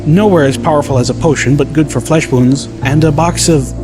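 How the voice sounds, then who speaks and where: A man's voice: on the high side, brisk, over music. A man reading a first-person scripted story as an audiobook narrator.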